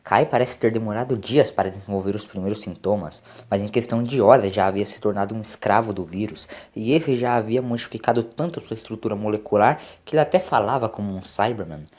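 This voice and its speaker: Audio drama role: time-travelling doctor male narrator acting, deep voice, serious, measured, explanatory. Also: a little mellow, natural voice. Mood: grave, resigned.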